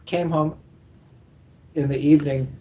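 A man's voice: on the high side, fairly even intonation, measured pace, mellow. An older man talking casually as a panel guest, rambling through anecdotes like a seasoned book editor. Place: live convention recording over internet call. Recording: dull, muffled internet call.

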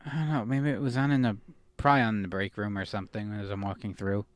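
A man's voice: fairly low pitch, little pitch movement, fast talker, no echo. A man co-hosting a casual chat, dropping short, wry asides. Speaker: podcast co-host.

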